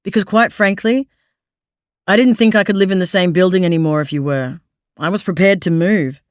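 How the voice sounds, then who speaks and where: Woman doing blunt aussie guy, earnest, kinda low and flat, dry close mic. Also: brisk, no echo, lightly acted. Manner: blunt, forthright, then tender. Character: earnest grown man, blunt, caring suitor.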